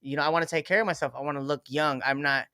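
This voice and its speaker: Man, 30s, high and bright, smooth tone, animated casual talker. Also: racing, no echo, clean studio sound. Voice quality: high-pitched voice. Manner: animated, chatty, lots of fillers and laughs. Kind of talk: casual chat.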